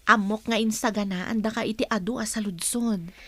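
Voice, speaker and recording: A woman's voice: mid-range voice, steady tone, normal speed, pushed and bright, dry recording. Dry-sounding, businesslike senior office woman. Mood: cautioning, supportive. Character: businesslike, supportive female senior office colleague. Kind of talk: Filipino radio drama acting.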